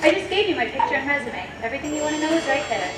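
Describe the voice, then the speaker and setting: A woman acting a brash, quick-talking young dancer on a stage, with sharp sassy retorts. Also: sits high, big pitch swings, over loud music. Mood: defiant. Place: theater stage. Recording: echoey stage from far off, noisy.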